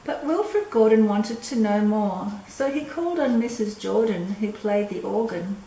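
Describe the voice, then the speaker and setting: An older woman reading a children's storybook aloud in a measured, expressive way. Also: mid-range voice, soft background music. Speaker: storyteller reading a picture book. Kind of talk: scripted storybook narration for children. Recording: roomy echo with clear background noise.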